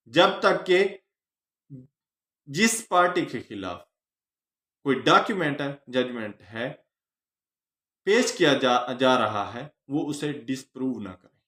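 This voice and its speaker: Pakistani guy teaching law, pitch swings up and down, pauses between phrases, echoey room. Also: fairly bright tone. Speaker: law lecturer. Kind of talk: explanatory legal lecture.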